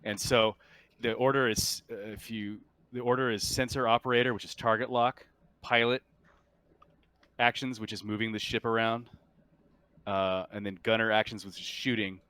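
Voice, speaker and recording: A man's voice: lowish voice, little pitch movement, normal speed, bright, forceful tone, clean tone, no echo. A man running a tabletop game as game master, explaining rules casually and thinking aloud as he goes.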